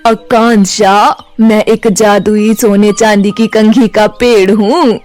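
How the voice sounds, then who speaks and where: Casting: woman as benevolent talking tree for a storybook tale, reassuring, measured, set a little under the narration, faint music behind. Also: mid-range voice, steady tone, a little mellow, voice pushed down, lightly acted. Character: kindly male magic tree spirit, calm and reassuring.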